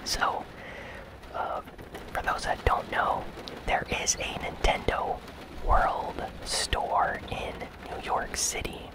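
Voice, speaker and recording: A host whispering gaming news in a breathy, unvoiced ASMR style, informal and keen in wording. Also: slow pace, low-quality recording.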